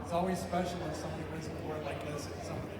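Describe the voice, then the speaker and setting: A man acting as master of ceremonies, reading award citations in a formal, measured way at a ceremony. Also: fairly high pitch, little pitch movement, a loud crowd. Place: large hall with an audience. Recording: echoey hall recorded from far off.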